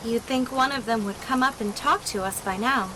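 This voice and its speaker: An actress playing a young warrior sister who speaks in a curious, earnest way. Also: female voice, fairly high pitch, moderate pace, background noise, close to an everyday voice. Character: young woman, a warrior sister who asks a lot of questions.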